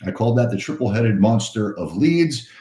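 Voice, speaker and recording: A man hosting a live cold-calling stream, talking in an energetic, motivational way, his voice a little hoarse and worn. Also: lowish voice, normal speed, roomy sound, a little hiss or hum. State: hoarse, voice a bit shot. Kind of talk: casual pep-talk livestream chat.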